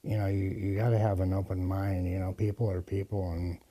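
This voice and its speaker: A man's voice: deep voice, level tone, a little fast, mellow. A man giving plain interview answers as a local resident.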